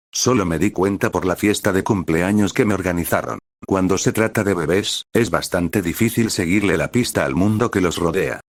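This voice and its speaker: A man narrating a fan fiction story in an even, measured reading. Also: low-pitched, dry recording, clean studio sound.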